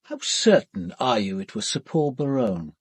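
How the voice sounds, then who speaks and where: An actor playing a methodical, composed British police detective narrating an investigation in a radio drama, with probing questions. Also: male voice, mid-range voice, big pitch swings, moderate pace, breathy edge, dry recording.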